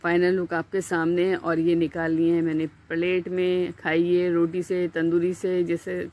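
Pakistani urdu accent lady talking thru her recipe, chatty, lots of little pauses. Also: on the low side, completely flat delivery, muffled recording. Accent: Urdu-speaking Pakistani. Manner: chatty, step-by-step explaining with fillers. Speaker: cooking channel host. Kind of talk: home cooking voice-over.

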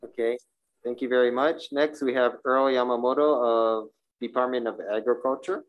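A man's voice: medium pitch, fairly even intonation, moderate pace, highs cut off. A man in his forties chairing a legislative hearing from a desk microphone in a hearing room, reading rules and calling testifiers with informal asides. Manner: informal asides, stumbles over names. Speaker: committee chair running a public hearing. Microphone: desk microphone on a stand.

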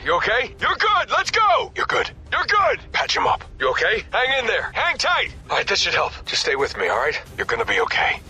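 An actor playing a battle-hardened, foul-mouthed special forces squad leader in terse, commanding combat lines for a video game. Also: male voice, very high-pitched, huge pitch swings, fairly quick, few pauses, sharp tone, exaggerated voice. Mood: brisk, businesslike.

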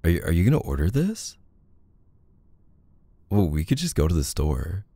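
A man's voice: deep voice, big pitch swings, moderate pace, mellow, breathy edge, no echo. A man performing a casual, intimate roleplay as a playful, bashful, affectionate boyfriend, talking one-sidedly with a hesitant manner.

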